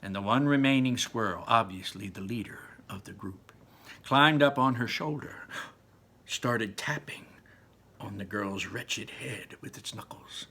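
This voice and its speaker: A man reading a children's book aloud in an animated way, dropping to whispers for effect. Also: on the low side, unhurried. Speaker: read-aloud narrator.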